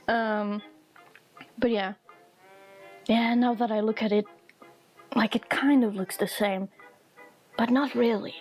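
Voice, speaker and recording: A young woman from Eastern Europe rambles through a relaxed vlog, her voice sitting a little on the high side. She laughs easily and slips into the voices of people she quotes. Quiet music plays underneath. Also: slow pace, long pauses, low-quality recording. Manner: chatty and amused, laughs often, acts out quoted people. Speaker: vlogger. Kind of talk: casual rambling chat while drawing.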